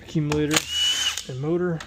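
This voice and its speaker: A man with a hoarse voice, recovering from tonsillitis, casually talking through a transmission teardown as he works. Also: fairly high pitch, steady tone, brisk, very noisy. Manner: plain-spoken, working while talking. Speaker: transmission rebuilder. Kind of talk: casual shop walkthrough.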